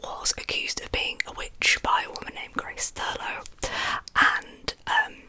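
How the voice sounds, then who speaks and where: A woman speaks in a soft, breathy whisper with a very bright, crisp edge and a lisp. She hosts in an ASMR storytelling style, keeping the delivery informal and chatty. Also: measured pace. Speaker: host of an ASMR true crime series.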